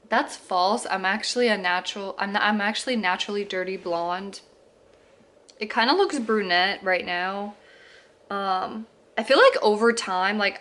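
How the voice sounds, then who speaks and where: A woman's voice: medium pitch, fairly bright tone. A woman in her twenties chatting casually to camera, filling her story with fillers, restarts and small laughs. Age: 20s. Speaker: vlogger.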